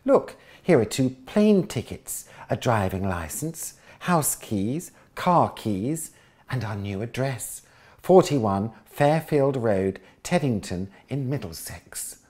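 A scheming lady hyena full of excited plans, bossy and coaxing, as a man reads her aloud from a storybook. She talks with a mellow, low-set tone and a quick, eager lift, putting on airs when she plays the grand lady. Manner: excited, coaxing, goes posh.

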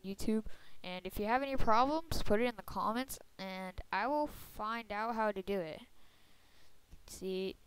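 A young woman with a soft voice giving a casual computer tutorial, chatty and full of fillers. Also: mid-range voice, unhurried, smooth, poor audio. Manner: soft-spoken. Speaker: tutorial maker. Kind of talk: screen-recorded computer tutorial. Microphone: computer microphone.

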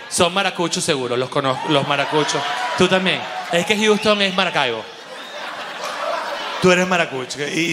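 A Venezuelan man doing animated, colloquial stand-up and audience banter on stage into a handheld mic, cursing freely. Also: a little high. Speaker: stand-up comedian and show host. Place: on stage in a dark theater with audience. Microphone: handheld microphone. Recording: echoey theater hall, audible background noise.

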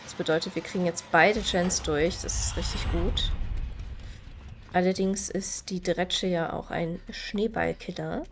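A woman's voice: on the low side, fairly even intonation, measured pace, soft background music. A woman with a stuffy, slightly nasal voice from a cold, chatting casually while she plays and explains game mechanics. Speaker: gaming video creator. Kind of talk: casual gameplay commentary.

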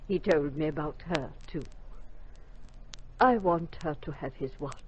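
A woman playing a solemn, knowing soldier's mother in a 1950s radio drama, quietly insistent. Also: low-pitched, unhurried. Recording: muffled, noisy old 1950s radio recording.

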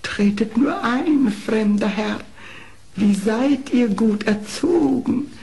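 A man's voice: extremely high pitch, slow pace, gravelly, pitched above the performer's own voice, full character voice, rough recording. A man voicing a vain, effusive female baboon mother in a radio play fable. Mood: gushing. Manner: effusive, flattering.

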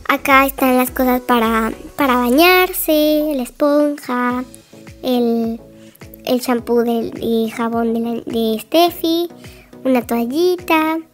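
A woman with a high, light voice narrates a house tour in a game. She talks in a chatty, gushing way, sprinkling in fillers as she goes. Soft music plays faintly underneath. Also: slow pace.

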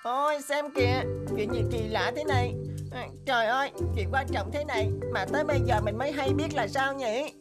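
A cartoon young donkey, voiced by a woman, speaks in a high, boyish voice over prominent background music. The character is naive and stubborn, with a whiny, boastful way of talking. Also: fairly quick, close to an everyday voice. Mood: amazed, boastful. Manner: boastful, whiny, talks to himself. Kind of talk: animated fairy-tale voice acting.

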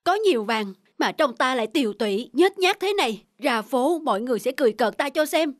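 A woman's voice: high-pitched, animated, fairly quick, no echo, clean studio sound. A woman voicing a haggard, money-obsessed rich man, self-absorbed and fretful, in a cartoon fairy tale. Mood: dismayed.

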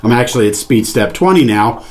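A man's voice: medium pitch, lively intonation, a little fast, a little hiss or hum. A man in his forties giving an instructional product demonstration, explaining things step by step. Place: small indoor studio with padded panel wall, seated at a table.

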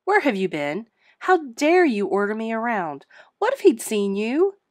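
A woman voicing a flustered but firm middle-aged female innkeeper in a storybook, brisk and emphatic. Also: on the high side, sing-song, no room sound, pitched above the performer's own voice. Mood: indignant.

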